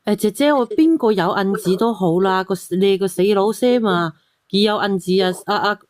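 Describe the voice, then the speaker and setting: A woman's voice: mid-range voice, moderate pace, a little mellow, no echo, clean studio sound. A woman on a call-in panel, arguing bluntly and scolding in casual talk. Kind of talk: argumentative casual commentary.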